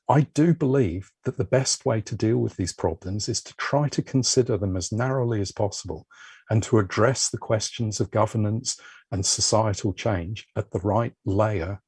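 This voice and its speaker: Gravelly, dark-voiced man giving a measured talk. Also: fairly low pitch, no echo. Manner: measured, explanatory, uses metaphors. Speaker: panel speaker. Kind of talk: online panel talk. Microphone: video call.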